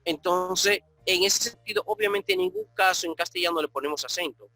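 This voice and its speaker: A middle-aged man, giving an online grammar lecture as a Greek teacher. He explains step by step and often checks in with a quick "ok?". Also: high voice, wildly swooping pitch, creaky, bright, forceful tone, faint music behind.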